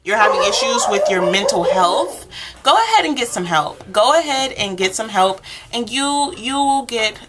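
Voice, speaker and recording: A woman's voice: a little high, lively intonation, moderate pace, heavy background noise. A woman speaking informally and encouragingly, one-to-one, as she gives a role-play tarot reading. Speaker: tarot reader, channel host.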